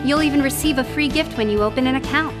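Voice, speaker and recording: A woman's voice: sits high, fast talker. A woman delivering a scripted advertising read as an announcer. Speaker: commercial announcer. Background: music.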